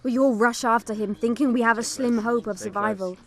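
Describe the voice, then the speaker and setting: A woman's voice: a little high, fairly even intonation, fairly quick, close to an everyday voice. A woman playing a young girl survivor, giving dramatised first-person narration of an attack. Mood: sombre recounting.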